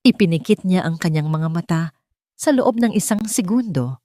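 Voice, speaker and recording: A woman reads an audio drama in a measured, dramatic storytelling voice. Her voice sits fairly low for a woman, and the recording is dry and close, with no room sound. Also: animated, warm tone, dry recording. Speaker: narrator. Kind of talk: scripted audio drama narration.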